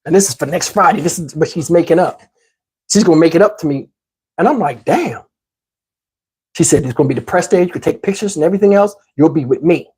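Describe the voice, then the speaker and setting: A man with a husky, slightly rough voice tells a personal story as the host of his own channel. His delivery is casual and slangy. He animatedly plays out both sides of conversations for comic effect. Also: a little high, quick pace. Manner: animated, slangy, acts out dialogue.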